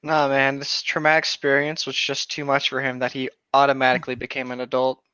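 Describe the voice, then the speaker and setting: A man's voice: mid-range voice, completely flat delivery, quick pace, bright, forceful tone, smooth, dry recording. A young man joking and reading a story as co-host, on a dull lo-fi microphone. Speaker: co-host of a comedic creepypasta reading.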